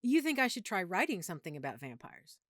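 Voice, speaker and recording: Casting: actress as affectionate girlfriend with a vampire secret, intimate audio roleplay. Lively, swooping intonation, medium pitch, very dry close sound. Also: quick pace, no room sound. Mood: earnest, geeky. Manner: chatty, playful, geeky explaining.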